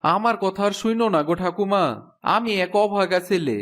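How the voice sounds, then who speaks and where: Man voicing a high-pitched, plaintive poor village boy. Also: moderate pace, a bit bright. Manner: pleading, plaintive. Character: poor orphaned village boy Sumon, meek and sorrowful. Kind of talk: cartoon fairy-tale voice acting.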